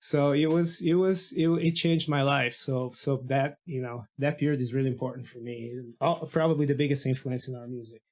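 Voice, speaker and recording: A man's voice: mid-range voice, fairly quick, hardly any pauses, darkish tone. A man from Brazil, a guest musician in a casual podcast interview, talking enthusiastically with many restarts and fillers.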